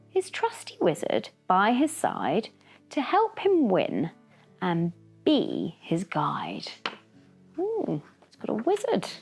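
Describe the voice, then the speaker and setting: Slow, animated woman reading to children. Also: on the low side, breathy edge. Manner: dramatic, with gasps and whispered asides. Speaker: children's storybook reader. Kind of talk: expressive read-aloud rhyming story with asides to young listeners. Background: background music.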